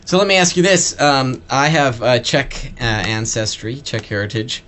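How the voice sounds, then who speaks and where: A man's voice: medium pitch, a bit bright. Curious male podcast host. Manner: curious, loose, quick bursts with many fillers. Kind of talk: casual interview talk.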